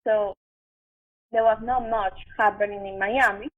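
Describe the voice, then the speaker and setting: A young woman from Venezuela with a Venezuelan Spanish accent, telling her story casually and haltingly in an interview, with many fillers. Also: medium pitch, fairly even intonation, phone-quality sound. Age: young adult. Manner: halting, filler-heavy, conversational. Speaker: podcast guest, actress and chef.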